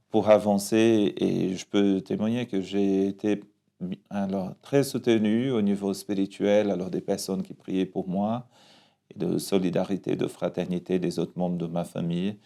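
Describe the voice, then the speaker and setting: A man from Brazil, a parish priest speaking French with a Brazilian Portuguese accent, giving a calm, reflective personal testimony in an interview, often restarting his phrases. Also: deep voice, normal speed, vocal fry.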